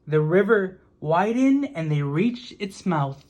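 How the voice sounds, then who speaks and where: Slow-speaking woman reading a children's storybook. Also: lowish voice, animated, darkish tone, slight background noise. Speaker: children's book reader. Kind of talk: storybook read-aloud narration.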